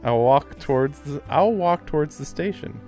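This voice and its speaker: A man's voice: a little high, expressive, normal speed, dark tone. Man musing over a game. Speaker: livestreamer playing a visual novel. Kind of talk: casual game commentary and reading aloud. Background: game music.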